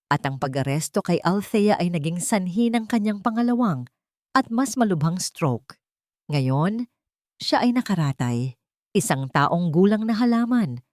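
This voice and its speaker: A woman's voice: lowish voice, darkish tone, no room sound, studio quality. A woman with a Filipino accent narrating a scripted, dramatic first-person story.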